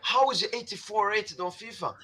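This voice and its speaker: A man in an online fan chat who breaks in loudly and repeats his mockery. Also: sits high, brisk, a loud crowd. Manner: loud interjections, repeats mockery. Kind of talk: casual chat. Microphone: online voice call.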